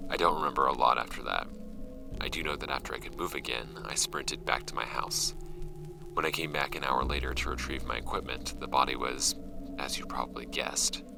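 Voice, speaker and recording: An actor playing a shaken paranormal investigator recounting a grim field log in a horror audio drama, grave and deliberate, his gravelly voice often fading to breath. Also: steady tone, over music. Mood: weary, uneasy. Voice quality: very low, gravelly, often breathy at phrase ends. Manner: slow-burning, grave, deliberate.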